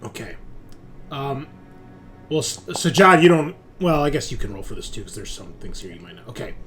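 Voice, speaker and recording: A man running a tabletop roleplaying game as game master, improvising his narration and thinking aloud in a halting way. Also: medium pitch, big pitch swings, fairly bright tone, faint music behind. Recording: clear background noise.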